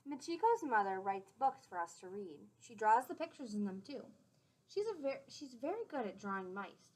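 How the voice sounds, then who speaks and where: A woman reading a picture book aloud to young children in an expressive, teacherly way, with simple explanatory asides. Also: a little high, normal speed, rough recording.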